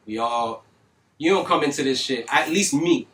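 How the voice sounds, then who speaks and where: A middle-aged man from North Miami telling stories in a casual studio interview, animated and full of street slang and cursing. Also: medium pitch, normal speed. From North Miami, Florida. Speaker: music engineer guest. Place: recording studio. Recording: distant mic, faint noise.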